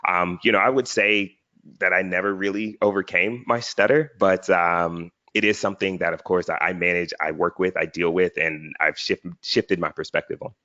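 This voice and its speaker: A man's voice: deep voice, fairly even intonation, normal speed, bright, forceful tone, no room sound, highs cut off. A man speaking as an interview guest in an earnest, reflective conversational way, often checking in with "right?". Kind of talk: motivational conversational talk.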